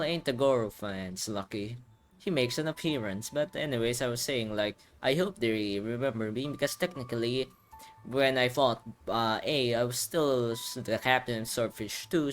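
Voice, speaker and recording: A man's voice: mid-range voice, moderate pace, soft background music. A man giving casual, rambling video game playthrough commentary, thinking aloud as he hosts. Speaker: gaming video host.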